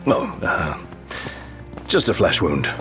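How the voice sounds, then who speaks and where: Hurt but stoic game character, really slow low voice, understated, bit of music behind. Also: male voice, sing-song, fairly bright tone, full character voice. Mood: subdued. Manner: subdued, hesitant, understated. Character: stoic, understated wounded man. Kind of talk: video game voice acting.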